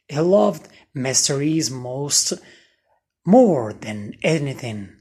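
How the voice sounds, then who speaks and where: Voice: medium pitch, darkish tone, husky. A storyteller reading a scripted bedtime story for children.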